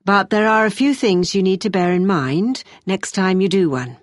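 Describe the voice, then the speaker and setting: A woman acting as a brisk, encouraging but exacting British university tutor, giving measured, emphatic feedback in a scripted listening-test dialogue. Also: medium pitch, no room sound, clean studio sound, close to an everyday voice. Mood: constructive.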